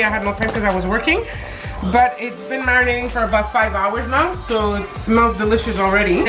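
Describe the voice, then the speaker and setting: A congested woman in her thirties, a little higher pitched than most, chats her way through a recipe at the stove. Her stuffy voice bounces around an echoey kitchen while music plays underneath. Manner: relaxed, chatty step-by-step explaining. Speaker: home cook filming a cooking vlog. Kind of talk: casual cooking narration. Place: home kitchen.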